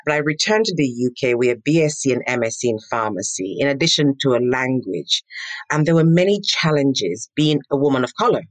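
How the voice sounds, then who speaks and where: A middle-aged woman from Nigeria, telling her story as an interview guest in an animated, emphatic, self-assured way. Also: a bass voice, a little fast, dry recording. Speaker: guest, entrepreneur. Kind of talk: interview answers.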